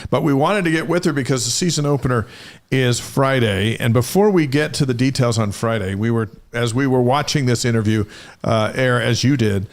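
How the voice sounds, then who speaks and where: A man's voice: mid-range voice, fairly quick. A man hosting a casual sports talk show, moving the conversation along in a conversational style.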